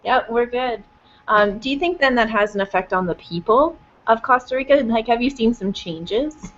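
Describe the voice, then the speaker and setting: Quiet-voiced woman teacher. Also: on the high side, moderate pace, a little hiss or hum. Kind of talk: classroom video call host. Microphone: laptop. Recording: dull classroom audio.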